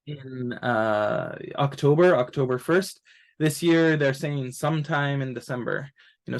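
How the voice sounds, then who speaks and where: A man presenting an informal webinar as an advisor, explaining things in a hesitant, halting way with many filled pauses. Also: medium pitch. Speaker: college admissions advisor and presenter.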